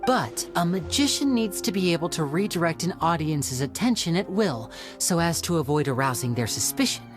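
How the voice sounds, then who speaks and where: A man playing a smooth young showman magician. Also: fairly high pitch, expressive, moderate pace, natural voice. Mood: deducing. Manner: smooth, theatrical, explanatory. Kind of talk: video game voice acting. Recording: game audio with soft music.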